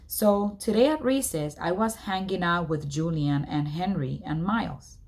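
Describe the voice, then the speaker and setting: A woman's voice: lowish voice, a little slow, darkish tone. A woman reading a storybook aloud as narration. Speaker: read-aloud reader.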